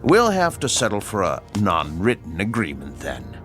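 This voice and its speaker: An actor playing a mysterious male stranger who speaks in a slow, measured and formal way in a radio drama. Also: low-pitched, huge pitch swings, a bit bright, soft background music, heavily acted. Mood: coaxing.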